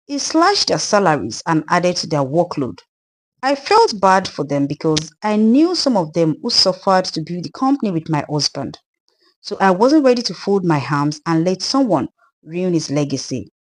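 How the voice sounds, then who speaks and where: Woman voicing a sorrowful Nigerian ghost widow, very animated. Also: on the low side. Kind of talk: dramatised folktale narration.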